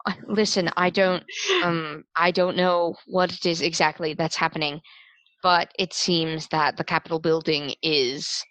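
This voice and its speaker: A woman with a low-pitched voice narrates a tabletop roleplaying game as game master. She is informal and joking, talking conversationally in a dry, close recording. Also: fairly even intonation, unhurried, fairly bright tone, dry recording, low-quality recording.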